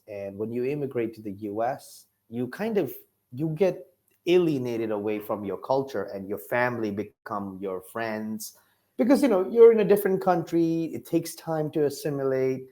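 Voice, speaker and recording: A man in his thirties with a Nepali accent, speaking as an interview guest. His voice sits at a middle pitch, and he explains things in an easygoing way, often with "you know" and "like". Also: a little mellow. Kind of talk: casual interview answers.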